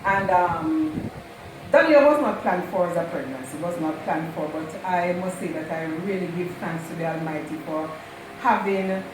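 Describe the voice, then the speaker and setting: A woman, the host's mother, fondly telling stories about her daughter's childhood at an unhurried pace and repeating herself for emphasis. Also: fairly low pitch. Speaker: mother of the channel host, guest. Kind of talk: casual storytelling. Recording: echoey room, distant mic, noisy.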